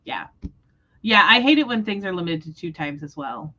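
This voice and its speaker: Low-pitched woman in casual book chat. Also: big pitch swings, moderate pace, pushed and bright, muffled recording. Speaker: host of a book readalong livestream. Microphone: livestream microphone.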